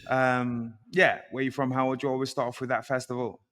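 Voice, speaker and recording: A man with a British accent hosts a casual interview. His voice is average in pitch, and he asks in a chatty way, often repeating and rewording what he wants to know. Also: little pitch movement, a little fast, fairly bright tone, in a large hall. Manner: repeats and rephrases questions.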